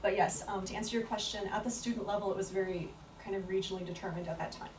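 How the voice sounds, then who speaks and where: A woman's voice: mid-range voice, steady tone, rapid-fire. A woman speaking at a book talk, answering an audience question thoughtfully with many fillers and restarts. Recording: echoey room from far off with faint noise.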